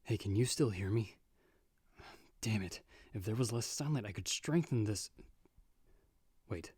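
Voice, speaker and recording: A man acting in an ASMR audio roleplay as a seasoned, magic-wielding monster hunter, talking the listener through danger in a measured, dry, reassuring way. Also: lowish voice, expressive, warm tone, slightly rough, no echo. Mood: concerned, urgent. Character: calm, seasoned male monster hunter with magic, guiding a partner.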